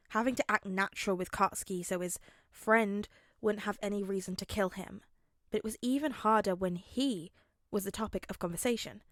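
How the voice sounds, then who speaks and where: A woman's voice: mid-range voice, no room sound, clean studio sound. A woman reading scripted story narration in the style of an audiobook. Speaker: fan fiction narrator.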